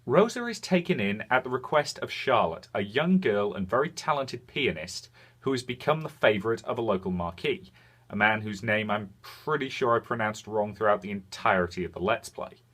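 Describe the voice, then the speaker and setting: Man reviewing games in measured commentary. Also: lowish voice, animated, fairly bright tone, breathy edge. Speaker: video game reviewer and host. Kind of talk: semi-scripted review commentary.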